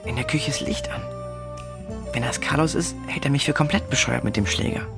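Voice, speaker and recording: A performer playing an anxious, self-doubting boy in a children's audio drama, hushed and hesitant. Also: medium pitch, a little fast, gravelly, over music, lightly acted. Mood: nervous.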